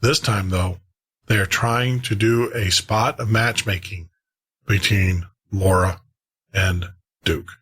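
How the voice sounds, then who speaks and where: A man's voice: fairly low pitch, steady tone, slow, fairly bright tone, breathy edge. A man hosting a podcast, talking casually and conversationally as he introduces old-time radio shows, often repeating and rephrasing himself. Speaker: podcast host.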